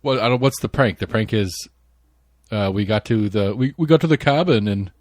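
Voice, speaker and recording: Casual male co-host, slightly low voice, wisecracking and relaxed, recorded dry. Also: normal speed, no echo. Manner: laid-back, joking, swears freely. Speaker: podcast co-host. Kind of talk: casual chat.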